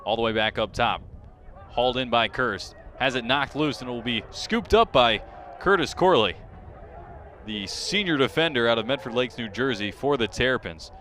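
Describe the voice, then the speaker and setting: A man's voice: medium pitch, moderate pace. Male play-by-play announcer over faint background noise. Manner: excitement rises sharply on big plays. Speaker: play-by-play sports commentator. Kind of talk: live sports play-by-play commentary.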